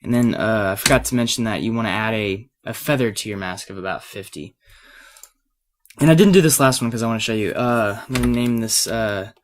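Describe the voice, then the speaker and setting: A man with a fairly low voice, made a little raspy by a cold, presents an unscripted software lesson. He speaks casually and hesitantly, working things out aloud as he goes. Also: a little fast. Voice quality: slightly raspy. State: has a cold. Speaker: tutorial presenter.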